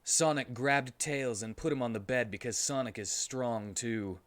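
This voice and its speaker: A man reading amateur fiction aloud as a streamer, in a mock-serious, animated comic style. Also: medium pitch, measured pace, dry recording.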